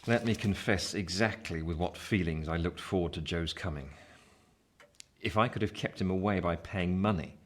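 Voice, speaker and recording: An actor playing a reflective gentleman narrating his own past in a radio play, measured and confessional. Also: low-pitched, slight background noise, close to an everyday voice.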